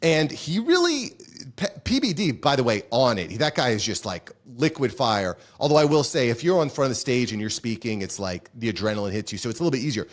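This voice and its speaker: Male vlogger, casual review, mid-pitched voice, conversational with restarts. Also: very animated, a little fast. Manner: chatty, conversational, frequent fillers and restarts. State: a little hoarse. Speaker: business vlogger. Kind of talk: casual talking-head review.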